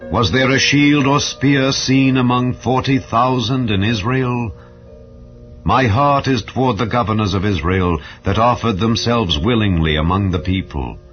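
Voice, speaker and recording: A man narrating a dramatized Bible reading in a solemn, formal, measured way. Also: fairly low pitch. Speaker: scripture narrator. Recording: slightly dull audio with music underneath. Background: background music.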